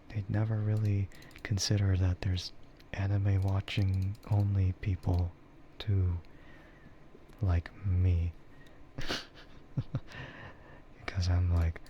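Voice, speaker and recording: A soft-spoken man chatting casually and haltingly in a laid-back ASMR livestream style. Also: low-pitched, little pitch movement. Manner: chuckles often while talking. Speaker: VTuber livestreamer. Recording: muffled audio with clear background noise.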